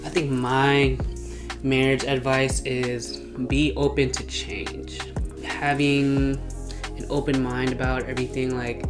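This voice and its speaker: A young man speaking calmly and earnestly as co-host of a couple Q&A. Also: mid-range voice. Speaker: co-host, husband. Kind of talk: casual couple Q&A chat.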